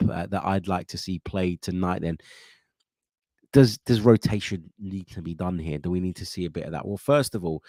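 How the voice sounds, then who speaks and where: Man, deep voice, stuffed-up nose, chatty football preview, dry close sound. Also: a little mellow, no room sound. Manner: thinking aloud, many fillers and restarts. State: congested, blocked nose. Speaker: football channel host. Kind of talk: casual match preview chat.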